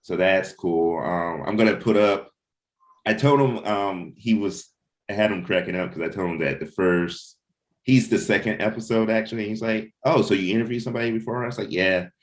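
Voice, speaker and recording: A man's voice: fairly low pitch, normal speed. A man talking casually and informally as he leads a team meeting of comic book creators, often checking in with his listeners. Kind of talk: casual chat, planning and anecdotes.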